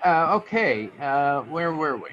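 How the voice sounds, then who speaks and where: Guy doing an amused gossipy tipster, kinda high voice, slangy, old radio play, bit muffled. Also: sing-song, a little slow, a little hiss or hum. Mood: musing. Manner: slangy, hesitant. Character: amused, chatty male informant, slangy and hesitant. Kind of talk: 1940s radio drama acting.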